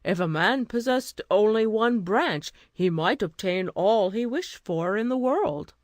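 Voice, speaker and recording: A woman's voice: mid-range voice, expressive, measured pace, no echo, clean studio sound, natural voice. A woman voicing a courteous young male knight in a storybook, formal and full of wonder. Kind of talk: storybook character voice.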